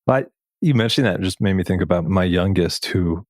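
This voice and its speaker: A man's voice: deep voice, a little mellow, dry recording, studio quality. A man hosting a reflective interview podcast, speaking thoughtfully and feeling his way through his phrasing. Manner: thoughtful, searching phrasing with pauses.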